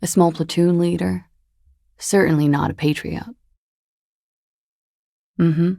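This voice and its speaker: Low creaky female voice, cool young disco dancer, flippant, evasive, deadpan, video game dialogue, close dry recording. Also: slow pace, no echo. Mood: wistful, detached. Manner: languid, wry, deadpan, flippant. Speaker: video game character voice actor.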